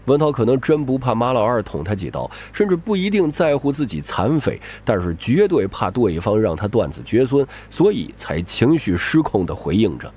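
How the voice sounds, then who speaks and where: A man's voice: mid-range voice, big pitch swings, moderate pace, hardly any pauses, a little mellow, slightly rough. A man reading scripted, dramatic audiobook narration.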